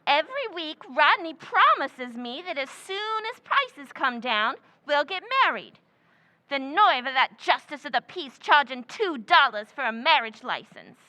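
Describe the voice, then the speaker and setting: A woman's voice: sits high, very animated, unhurried, pushed and bright, exaggerated voice, rough recording. A woman playing a giddy, scatterbrained, man-hungry young woman in an old-time radio comedy recreation.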